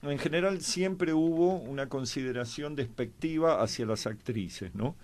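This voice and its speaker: A man with an Argentine accent in a panel discussion, explaining in a halting, hesitant way. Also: medium pitch, darkish tone. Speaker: panelist on a history programme.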